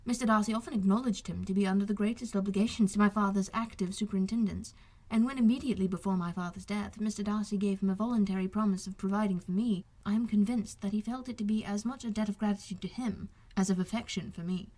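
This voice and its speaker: A young gentleman officer from a period novel , voiced by a female reader. The voice is husky and sits at a middle pitch. He explains his wrongs earnestly, with formal, self-pitying politeness. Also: fairly even intonation, fast, natural voice, low-quality recording. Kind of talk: audiobook character dialogue.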